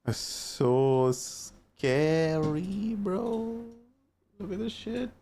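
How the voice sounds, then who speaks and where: A man's voice: high-pitched, lively intonation, drawn-out words, mellow, slight background noise. A young man from India with an Indian accent, chatting casually with his live-stream viewers and reacting to their messages.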